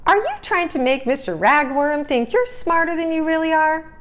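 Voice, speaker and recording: A woman's voice: high voice, normal speed, a bit bright, slight background noise, voice pushed up. A woman reading a children's storybook, voicing a cheeky, blunt, nosy little girl with a teasing manner. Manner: teasing, pointed questions. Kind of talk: children's storybook read-aloud.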